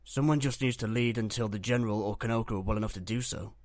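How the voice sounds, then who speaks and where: A man playing a calm, reassuring ship's medic in a roleplay series, speaking matter-of-factly. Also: fairly low pitch, fast, no room sound, clean studio sound, lightly acted. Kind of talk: Minecraft roleplay web series voice acting.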